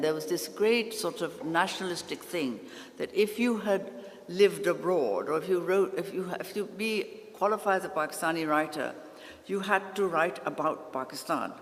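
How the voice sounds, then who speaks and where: A woman speaking earnestly on a literary panel, often restarting her sentences. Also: sits low. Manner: earnest, with many restarts and fillers. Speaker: literary critic and anthology editor on a panel. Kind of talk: panel discussion. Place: large festival hall. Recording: hall with long reverb.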